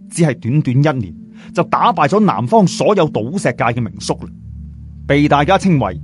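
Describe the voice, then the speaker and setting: Male audiobook narrator, Cantonese, conversational asides to the listener, very expressive intonation, slightly high voice. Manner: chatty, uses sentence particles and asides to listeners. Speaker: audiobook storyteller. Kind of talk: lively colloquial story narration.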